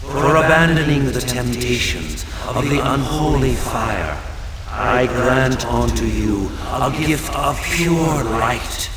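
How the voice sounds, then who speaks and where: A man's voice: medium pitch, natural voice. A man playing a solemn, benevolent holy spirit in a fantasy video game, speaking ceremonially. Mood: solemn approving. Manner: ceremonial, measured. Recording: distant, echoey hall, crowd-like din behind.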